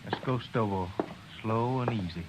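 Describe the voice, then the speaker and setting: A man's voice: fairly low pitch, moderate pace, heavy background noise, close to an everyday voice. A man acting an agitated, folksy western townsman in a radio play. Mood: uneasy. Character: excitable western townsman minding a prisoner. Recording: dull old radio recording with crowd.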